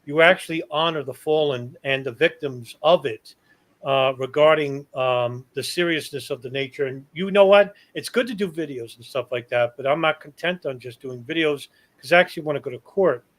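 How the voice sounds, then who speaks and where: A man's voice: fairly high pitch, moderate pace, fairly bright tone. A man in his fifties speaking as a guest, casual but earnest and emphatic about his opinions. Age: 50s. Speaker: talk show guest and online activist.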